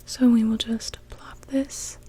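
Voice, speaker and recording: A young woman whispering gently and without hurry in an ASMR witch roleplay, speaking directly to the listener. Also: a little high, flat delivery, slow pace, husky. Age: young adult. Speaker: ASMR performer.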